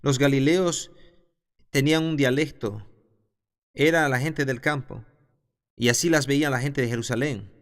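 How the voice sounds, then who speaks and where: A man's voice: mid-range voice, fairly even intonation, measured pace, long pauses. A man teaching a Bible class who reads scripture aloud and then explains it, stopping now and then to restart a phrase. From the south of his country.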